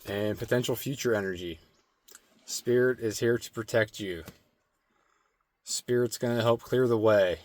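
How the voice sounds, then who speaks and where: A man giving a slow, meditative spirit card reading with a strained, slightly hoarse throat and many filler words. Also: lowish voice. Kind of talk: intuitive oracle card reading.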